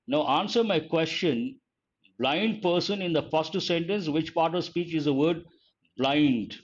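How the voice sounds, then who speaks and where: An older man with a standard Indian English accent teaches grammar in a somewhat high voice, calling on students by name. He explains slowly and repeats each rule. The audio is dull and lo-fi. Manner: addresses students by name, asks and answers his own questions; slow, deliberate, repeats key points. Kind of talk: online grammar lesson.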